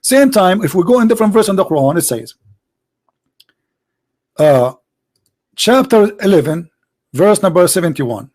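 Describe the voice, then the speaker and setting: High-voiced man debating forcefully. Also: big pitch swings, normal speed, pauses often. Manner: forceful, argumentative, repeats words. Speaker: debate host. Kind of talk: heated debate.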